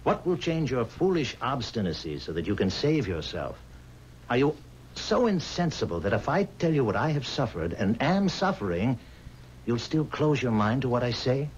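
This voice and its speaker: An actor playing a haunted, pleading musician bound to the devil, speaking earnestly and formally in dramatic 1970s radio style. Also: male voice, mid-range voice, big pitch swings, moderate pace, lightly acted. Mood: desperate. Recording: dull, hissy 1970s radio recording.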